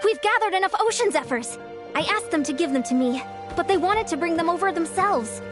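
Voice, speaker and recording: A woman's voice: extremely high pitch, animated, fairly quick, music in the background. A woman voicing a youthful, frank, practical girl in a video game. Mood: cheerful, matter-of-fact. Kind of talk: video game character acting.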